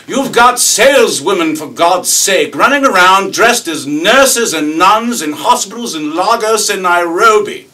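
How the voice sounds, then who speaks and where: A man's voice: fairly high pitch, sing-song, fast talker, hardly any pauses, voice pushed up. A man voicing an indignant young British employee, sputtering moral accusations with strain in a stage monologue. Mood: outraged, shouting. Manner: sputtering, emphatic. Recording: distant mic with faint room noise.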